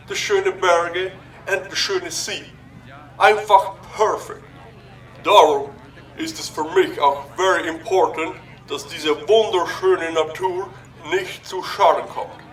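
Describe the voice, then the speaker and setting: Slow, high-voiced older American tycoon. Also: male voice, a bit bright, distant mic, poor audio. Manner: slow showman delivery mixing English words into German. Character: friendly older American businessman giving a grand speech. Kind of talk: children's audio drama acting.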